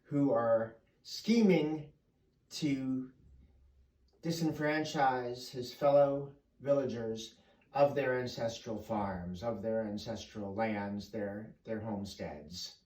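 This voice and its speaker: A man delivers an explanatory lecture in the manner of a professor. He speaks slowly at a middling pitch, with deliberate, emphatic phrasing and pauses. The room is echoey and the microphone is at some distance. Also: darkish tone.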